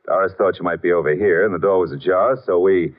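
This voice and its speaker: An actor playing a brisk, breezy detective's assistant, snappy and businesslike, in a 1950s radio mystery. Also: male voice, low-pitched, fairly even intonation, phone-quality sound, lightly acted. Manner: snappy, businesslike, smooth-talking. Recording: band-limited old 1950s radio recording.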